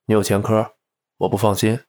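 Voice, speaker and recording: An actor playing a shy, reserved man in his thirties, a devoted boyfriend, speaking with soft, hesitant restraint in a naturalistic audio drama. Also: lowish voice, level tone, brisk, natural voice. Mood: gentle. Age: 30s. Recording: music behind the voice.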